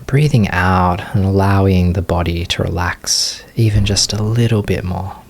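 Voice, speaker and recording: A man leading a guided meditation as a teacher, giving unscripted, gentle instruction in short phrases with long pauses. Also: sits low, slight background noise.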